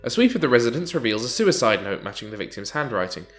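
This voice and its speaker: A man with a blocked, stuffy nose gives casual, wry commentary as he plays an adventure game, at a middling pitch. Game music sits faintly under his voice. He is chatty and dryly amused. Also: big pitch swings, fast talker. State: stuffy-nosed from hay fever. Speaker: let's play host.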